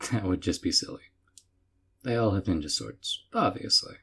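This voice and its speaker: An actor playing a sweetly possessive young yandere man in an audio roleplay, doting and softly teasing. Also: deep voice, sing-song, moderate pace, gravelly, breathy edge, full character voice. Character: doting obsessive young male yandere captor.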